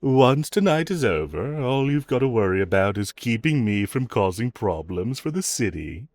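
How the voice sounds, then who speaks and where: A man playing a smug, flirtatious villain in an audio roleplay, teasing and coaxing the listener with self-assured, drawn-out phrasing. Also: medium pitch, very animated, darkish tone, no echo. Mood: persuasive, flirty.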